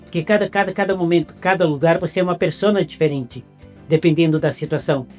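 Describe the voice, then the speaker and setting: A Brazilian woman hosts a casual interview with a notably low voice. She talks at length, stringing ideas together. Light background music plays. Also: fast. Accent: Brazilian Portuguese. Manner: talkative, lists ideas at length. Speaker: interview host.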